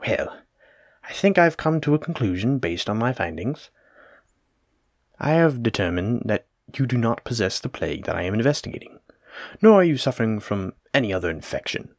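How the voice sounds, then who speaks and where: A man acts out a learned plague doctor from olden times in an immersive audio drama. His voice sits fairly low and is recorded dry, with little room sound. He addresses the listener as his patient in formal, wordy, reassuring phrases, always polite. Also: no room sound. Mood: explaining. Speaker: physician examining a patient.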